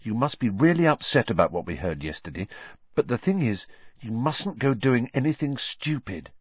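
Man putting on a worried bossy schoolgirl, high and panicky, husky edge. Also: sing-song, normal speed, dry recording, highs cut off. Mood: anxious. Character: anxious, bossy teenage schoolgirl.

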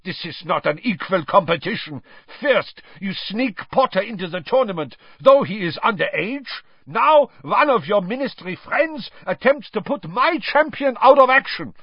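A pompous, livid headmaster in a storybook reading. The voice is pitched well up and swings widely, declaiming with indignant contempt. The sound is slightly dull. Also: male voice, expressive, normal speed, few pauses, breathy edge, no echo, exaggerated voice. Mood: livid, accusing. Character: livid middle-aged foreign headmaster, pompous accuser, bellowing.

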